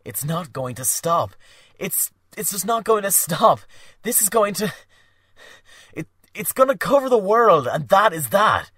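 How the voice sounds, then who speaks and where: A man voicing a frightened young man, loud and agitated, stammering as he talks. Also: high voice, very animated, measured pace, slightly rough, dry recording, clean studio sound, pitched above the performer's own voice, heavily acted. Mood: alarmed.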